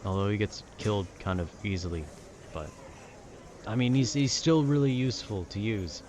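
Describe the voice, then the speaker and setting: A man's voice: fairly low pitch, normal speed, darkish tone, a little hiss or hum. A man chatting casually in a laid-back, meandering, slangy way, as if rambling to a friend about video games. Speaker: audio roleplay creator.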